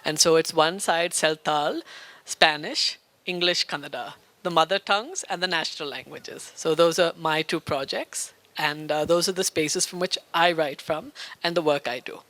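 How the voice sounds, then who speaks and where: A man's voice: a little high, normal speed, a bit bright, no echo. An Indian American man moderating a literary festival panel, introducing the session in an enthusiastic, flowing way with long lists.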